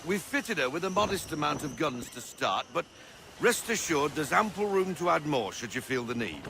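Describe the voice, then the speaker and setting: A man's voice: fairly high pitch, big pitch swings, normal speed, noisy background. A man playing a hearty, roguish seasoned sailor in a video game, jaunty and full of salty talk. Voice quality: salty nautical turns of phrase. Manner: jaunty, bellows orders. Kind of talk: video game character acting.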